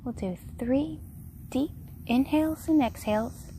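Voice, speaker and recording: Woman doing a guided meditation, really slow and soft spoken, some background noise on it. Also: high voice.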